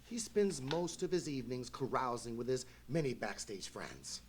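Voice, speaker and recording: A man playing an anxious, courteous English lord in a live radio play, with a husky voice and formal, florid speech. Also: mid-range voice, animated, normal speed, a little hiss or hum, lightly acted. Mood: apologetic. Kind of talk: live old-time radio play acting.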